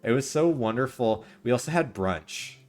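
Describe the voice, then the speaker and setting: A man voicing a sassy young valley girl who gushes and drawls with vocal fry. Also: medium pitch, animated, moderate pace, a little mellow, faint music behind, lightly acted. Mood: gossipy.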